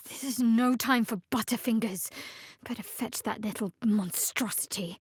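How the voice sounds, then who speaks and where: An actress playing a guarded, sardonic young female cleric of a dark goddess in a fantasy video game, speaking in a measured, dryly wry way. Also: fairly high pitch, breathy edge, no room sound, studio quality. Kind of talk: video game voice lines, dramatic fantasy acting.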